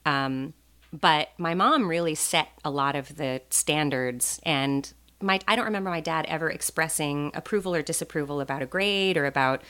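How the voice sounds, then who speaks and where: Low-voiced American woman, chatty storyteller. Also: normal speed, a bit bright. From born in Taiwan, raised in a US Navy family. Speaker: podcast guest. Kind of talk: casual conversational storytelling.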